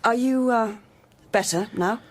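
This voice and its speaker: An actress playing a concerned, level-headed hospital nurse in an audio horror drama, asking gentle questions. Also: female voice, on the high side, a little slow, slight background noise, lightly acted. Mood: concerned, calm.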